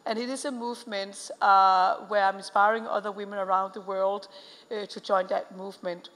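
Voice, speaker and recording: A woman's voice: medium pitch, little pitch movement, moderate pace, a bit bright. A middle-aged woman from Denmark with a Danish accent, speaking earnestly on a conference panel, with frequent fillers and restarts. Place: large conference hall stage. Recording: long hall reverb.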